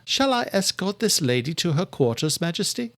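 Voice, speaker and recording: A man voicing an anxious, deferential female vampire servant in an audiobook, speaking in a hushed, urgent manner. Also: sits high, big pitch swings, moderate pace, no echo, pitched above the performer's own voice, heavily acted. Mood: deferential, chastened.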